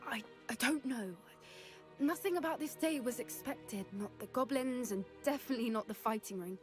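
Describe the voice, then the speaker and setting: A woman's voice: on the high side, normal speed, soft background music, close to an everyday voice. An actress playing an earnest, worried young witch in a video game, speaking seriously. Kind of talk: video game cutscene acting.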